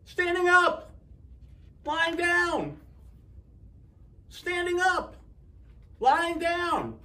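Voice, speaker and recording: A man voicing stubborn, quarrelling townspeople in a storybook, shouting insistently in a high shriek. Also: lively intonation, very slow, full character voice. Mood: screaming, stubborn.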